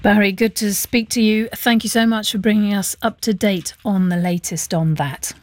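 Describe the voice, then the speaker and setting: A woman's voice: mid-range voice, fairly even intonation, normal speed, darkish tone, no echo. A woman with a British accent presenting live radio news from a studio.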